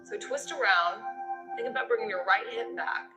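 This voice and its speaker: A woman's voice: fairly high pitch, moderate pace. A woman leading a yoga class, giving step-by-step cues in an encouraging, conversational way. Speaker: yoga instructor. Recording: roomy echo with music behind. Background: music.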